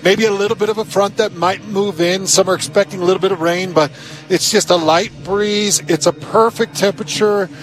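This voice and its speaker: A man gives casual sports commentary as a radio co-host in a stadium booth. His voice is high-pitched for a man. He talks informally and effusively, as in a friendly chat. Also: fairly even intonation, fairly quick, poor audio.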